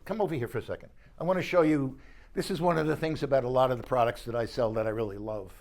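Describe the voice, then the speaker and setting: A man's voice: mid-range voice, quick pace, darkish tone. A man working as an audio dealer, explaining gear informally and correcting himself as he goes. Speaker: audio dealer giving a product demonstration. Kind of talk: informal show-room product walkthrough. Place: exhibition suite at an audio show.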